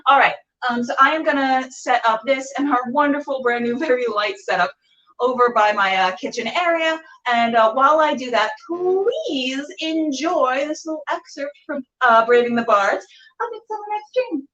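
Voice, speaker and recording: A woman's voice: high-pitched, lively intonation, normal speed, fairly bright tone, far from the mic, lo-fi sound. A woman hosting a podcast with casual, playful, gushing chat, recorded in a room at home. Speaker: podcast host and interviewer. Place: home kitchen. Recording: echoey room, off the mic.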